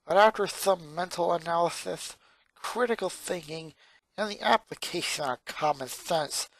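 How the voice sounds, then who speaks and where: A performer voicing a four-year-old girl genius from a cartoon fan story, monotone and sarcastic, with pedantic, wordy phrasing. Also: medium pitch, moderate pace, dry recording, pitched above the performer's own voice, poor audio. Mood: matter-of-fact.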